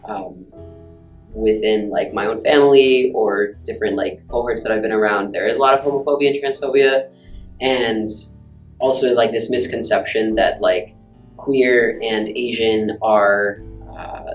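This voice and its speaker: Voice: medium pitch, normal speed, reverberant, poor audio. A student activist from Alaska, speaking frankly and thoughtfully in a personal interview. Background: faint guitar music.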